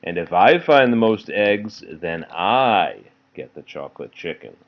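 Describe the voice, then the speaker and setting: A man's voice: lowish voice, very animated, measured pace, muffled recording. A man voicing a bossy, exasperated young rabbit girl who chides her brother in a children's read-aloud. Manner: bossy, chiding.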